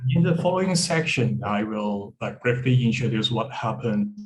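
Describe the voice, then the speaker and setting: A man's voice: on the low side, lively intonation, moderate pace, faint music behind, low-quality recording. Earnest man from Hong Kong. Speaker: panelist. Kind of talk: prepared talk with slides.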